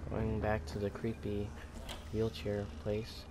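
A man's voice: on the low side, monotone, slow, noisy background. A man giving casual, sarcastic, muttered commentary as he plays a video game. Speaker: gamer playing a horror game. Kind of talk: casual gameplay chat.

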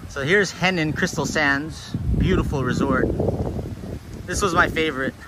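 A man's voice: on the high side, normal speed. A man hosting a casual walking livestream outdoors, chatting in a laid-back way and greeting viewers. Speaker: livestream host. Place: outdoors, walking along a beach. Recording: noisy outdoor ambience, breezy.

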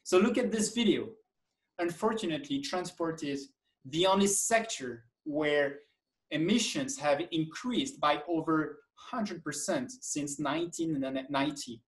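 Guy giving an excited slide talk on a video call, kinda high voice. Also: measured pace. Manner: enthusiastic, lively emphasis, occasional restarts. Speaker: conference speaker. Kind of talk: presentation over slides. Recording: echoey room, distant mic.

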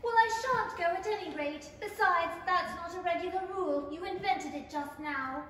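A woman's voice: very high-pitched, normal speed, pushed and bright. A woman playing an indignant, outspoken young girl in a 1930s-style radio play, argumentative and emphatic. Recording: very echoing hall, faint noise.

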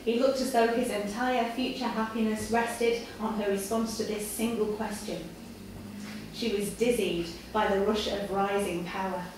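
A young woman reading a story aloud in a measured, deliberate storytelling delivery. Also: mid-range voice, fairly even intonation. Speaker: reader at a live literary night. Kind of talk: dramatic reading of written fiction. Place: live in a café before an audience. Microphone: far camera. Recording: echoey, distant camera mic, room noise.

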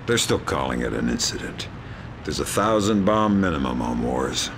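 A man playing a gruff, dry-witted covert agent in a video game, speaking tersely in a gravelly voice. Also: very deep voice, moderate pace, full character voice. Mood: dry, probing. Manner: terse, deadpan. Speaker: secret agent. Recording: loud music and engine hum behind.

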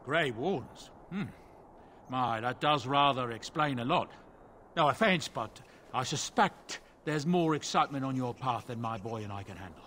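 A man's voice: medium pitch, lively intonation, normal speed, a bit bright, slight background noise, natural voice. An actor playing a courteous, chatty middle-aged dwarf merchant with a salesman's patter in a fantasy video game. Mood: polite, brisk.

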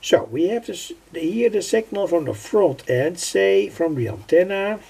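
An older man giving an unscripted hands-on tutorial in a halting, deliberate way, often repeating his phrases. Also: high-pitched, lively intonation, dark tone. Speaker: electronics hobbyist presenter. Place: indoors at a home workbench. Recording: clearly noisy home recording.

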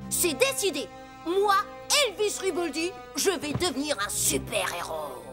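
A woman voicing a boastful, excitable little boy who plays superhero in a cartoon, grandiose and theatrical. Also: high voice, measured pace. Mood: proud, determined. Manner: grandiose, self-important, theatrical. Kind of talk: animated cartoon acting. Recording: music behind the voice.

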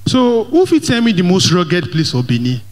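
A young Nigerian Pidgin-accented man doing stand-up comedy on a church stage, slightly high-voiced and lively. He mixes Pidgin with English and talks straight to the crowd through a handheld mic. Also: normal speed. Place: large church hall stage. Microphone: handheld microphone.

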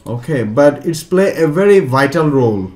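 Man patiently teaching a software lesson. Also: fairly high pitch, expressive, normal speed. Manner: patient, explaining step by step, non-native phrasing. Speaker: computer tutor.

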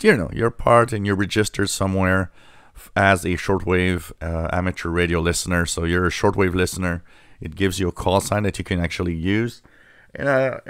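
Low-voiced man in casual explainer. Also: clear, resonant tone, no room sound, studio quality. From Canada. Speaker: radio hobby channel host. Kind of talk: casual screen-share explainer.

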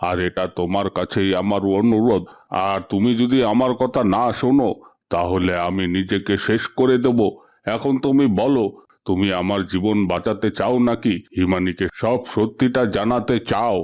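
A man's voice: on the low side, normal speed, a little mellow, lightly acted. A man voicing a possessive, desperate fish king in a melodramatic cartoon fairy tale. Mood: desperate, stubborn.

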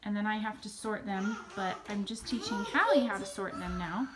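A woman's voice: mid-range voice, lively intonation, moderate pace, very noisy. An American woman in her thirties chatting casually as a mother filming a family vlog on a handheld phone at home.

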